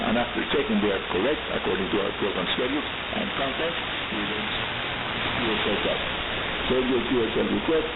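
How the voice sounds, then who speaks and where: A man with a Cuban accent and Spanish as his first language, hosting a scripted shortwave radio program in a formal, courteous, explanatory manner. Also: medium pitch. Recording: hissy, echoey, narrowband shortwave radio reception. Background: loud radio hiss and static.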